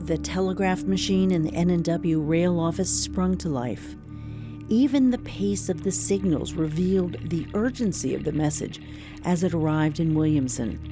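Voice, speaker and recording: A woman narrating a scripted radio drama in a measured storytelling manner. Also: lowish voice, music in the background.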